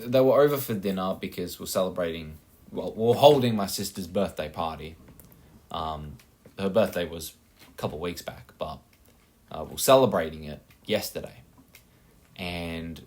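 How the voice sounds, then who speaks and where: A young Australian man rambling casually over his gameplay, with many fillers and self-mocking asides. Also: sits low. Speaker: gaming channel host.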